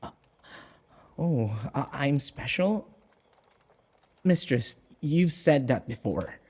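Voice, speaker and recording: A man's voice: medium pitch, vocal fry, dark tone, heavily acted. A man playing an anxious human servant held captive by a vampire mistress in an audio roleplay, hesitant and stammering. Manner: hesitant, stammering, anxious, with sighs and muttered asides. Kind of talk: audio roleplay acting, spoken to the listener.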